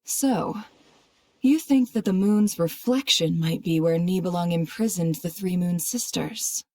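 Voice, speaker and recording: A woman voicing a composed, analytical female adventurer in a game cutscene, with a deliberate, questioning delivery. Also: fairly low pitch, dark tone, no echo. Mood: pondering, serious. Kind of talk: animated game cutscene voice acting.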